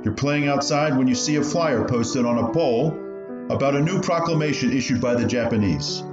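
A man's voice: medium pitch, brisk, natural voice. An actor playing an old man who reminisces about his refugee childhood in a radio play, telling it like a story. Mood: solemn narrating. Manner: storytelling, hesitant while remembering. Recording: muffled, roomy, music underneath.

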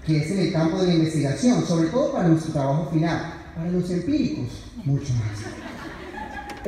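A young man delivers a prepared graduation speech from a podium in an earnest, declamatory way. His voice is soft-edged and mellow. It reaches the listener from far off in a very echoey auditorium. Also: sits high. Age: young adult. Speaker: graduating psychology student giving a speech. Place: large university auditorium, indoors. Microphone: podium microphone, picked up by distant audience camera. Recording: echoey hall from far off, faint noise.

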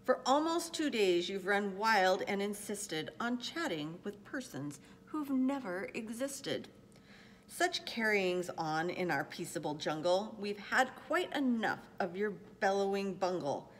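A woman's voice: mid-range voice, big pitch swings, a little slow, in a large hall, a little hiss or hum. A woman voicing a bossy, scornful big mother kangaroo from a storybook, with a haughty, snapping delivery. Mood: stern, threatening.

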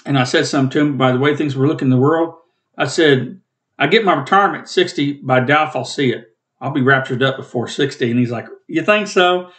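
A man in his late fifties with a rough, coughing throat, giving a rambling, plainspoken Bible study from home over a webcam. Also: medium pitch, quick pace, fairly bright tone. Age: 50s. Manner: rambling, plainspoken, self-corrects. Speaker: Bible teacher and channel host. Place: small room at home, indoors.

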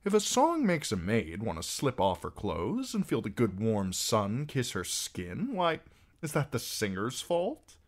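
Man as sly tavern minstrel, lively pitch. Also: a little slow, exaggerated voice. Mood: teasing. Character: sly, easygoing male tavern singer with harp.

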